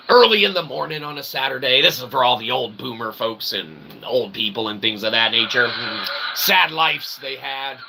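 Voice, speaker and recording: A man's voice: medium pitch, pushed and bright. A man delivering a rambling comedic pseudo-philosophical monologue as a streamer, mixing mock-formal wordy phrasing with crude swearing and short tag questions. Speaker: solo streamer and channel host. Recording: clearly noisy, with ambient background sound.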